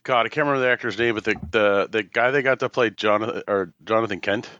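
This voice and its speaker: On a dry, slightly dull microphone, a man talks casually as a podcast co-host. His voice is fairly low, with a forceful, bright edge. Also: fast talker, dry recording. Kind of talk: casual chat. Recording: muffled, dry, band-limited microphone sound.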